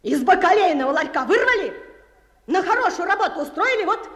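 An actress playing a resentful, sarcastic sister in a radio play, scolding indignantly, in a very reverberant recording. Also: female voice, very high-pitched, very animated, a little fast, a bit bright, full character voice. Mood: indignant, scornful. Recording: long reverberant hall sound.

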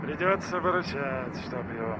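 An actor playing a folksy, grumbling, plain-spoken riverboat engine hand in a Soviet radio play. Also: male voice, medium pitch, animated, normal speed, natural voice. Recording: noisy old mono radio play recording.

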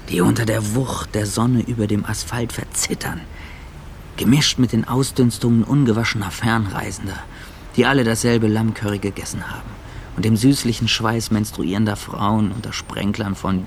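A man's voice: lowish voice, vocal fry, a little hiss or hum. An actor playing an intense, sensation-hungry man who narrates in a literary second person in a radio play.

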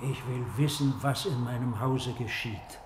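A man's voice: mid-range voice, steady tone, unhurried, heavy background noise, close to an everyday voice. A man plays a fallen Roman emperor in a vintage radio drama. His mid-range voice is stately and imperious, brooding and full of pomp. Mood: resolute. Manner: stately, pompous. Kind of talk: 1950s German radio play acting.

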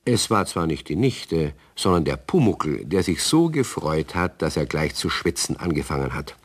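A man's voice: rumbling low, very animated, normal speed, slightly rough, exaggerated voice. A man playing a wry, fatherly narrator of a children's radio play. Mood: amused. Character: wry, fatherly male storyteller. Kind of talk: scripted children's story narration.

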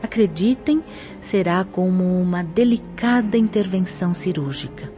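An actress plays a solemn female spirit teacher in a radio drama. Her voice is dark and mellow, her delivery grave, didactic and declamatory. The recording is muffled, with faint music underneath. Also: on the low side, animated, lightly acted. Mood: grave, instructive. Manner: solemn, didactic, measured emphasis. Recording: muffled lo-fi audio with music behind. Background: background music.

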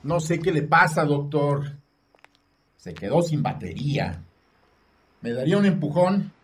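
A man's voice: fairly high pitch, big pitch swings, measured pace. An actor with a Mexican accent playing an anxious, obsessed man of thirty-two who narrates a radio drama in the first person. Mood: polite. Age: 30s. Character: obsessed, anxious 32-year-old man narrating his troubled thoughts. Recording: echoing long reverb, music underneath.

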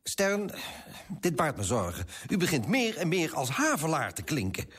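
An actor in a radio drama playing a smug, stuffy, sceptical Dutch merchant with a pompous, condescending manner. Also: male voice, on the high side, wildly swooping pitch, normal speed, fairly bright tone, breathy edge, exaggerated voice.

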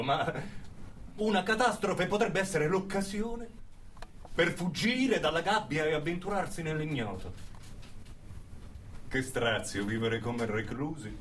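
An actor playing a brooding revolutionary who addresses listeners in a deliberate, literary radio monologue with dramatic pauses. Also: male voice, on the high side, a bit bright, far from the mic, slight background noise.

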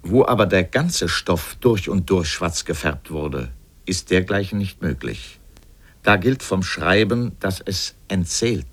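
A man's voice: low voice, expressive, takes it slowly, heavily acted. A man delivering scripted literary commentary for radio in an erudite, formal and ironic manner. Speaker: essayist narrator in a radio feature.

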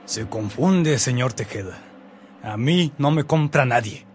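Actor as firm, defiant priest, medium pitch. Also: male voice, lively intonation, measured pace, darkish tone, close to an everyday voice. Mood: defiant, proud. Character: proud, incorruptible priest defying a governor. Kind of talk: radio drama acting.

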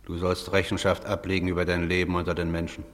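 A stern judge of the dead, played by a male actor, speaks with formal gravity in a radio drama. The voice is very deep and even, resounding in a long hall reverb. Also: lightly acted. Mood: solemn, stern. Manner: grave, measured, formal. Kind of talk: theatrical radio drama acting.

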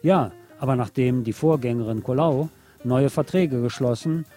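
A man hosting a late-night radio show, delivering a literary scripted monologue in a deliberate, poetic storytelling way. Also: mid-range voice, mellow. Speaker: radio host. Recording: music playing under the voice. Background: music.

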